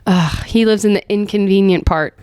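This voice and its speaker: A woman's voice: mid-range voice, moderate pace, a little mellow, clean tone. A young woman co-hosting a podcast, chatting in a friendly, conversational way with some playful teasing. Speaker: podcast co-host. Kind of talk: casual chat.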